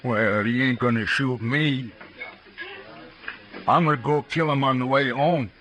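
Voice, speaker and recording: An American actor playing a hot-headed, grudging rancher in a 1950s radio western, speaking in a blunt rustic way. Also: male voice, medium pitch, fairly even intonation, unhurried, fairly bright tone, lightly acted. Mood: aggrieved, threatening.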